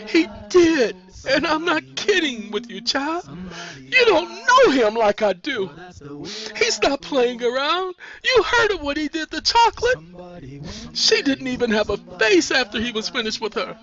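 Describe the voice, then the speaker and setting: A desperate, frightened woman in a dramatic story, voiced by a man. The voice is pitched very high and swoops with urgent, insistent pleading. It sits on a dull, lo-fi recording. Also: sing-song, slow, pauses often, a bit bright, recorded from a distance, exaggerated voice.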